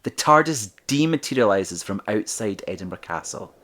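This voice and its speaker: A man reading scripted narration for an audio drama, describing the action in short measured sentences. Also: fairly low pitch, expressive, a bit bright, faint music behind.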